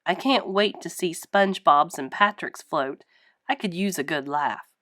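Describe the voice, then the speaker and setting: A woman's voice: on the low side, measured pace, fairly bright tone, no echo, clean studio sound, voice pushed down. A woman voicing a sour, smug, sarcastic male cartoon squid for a children's storybook.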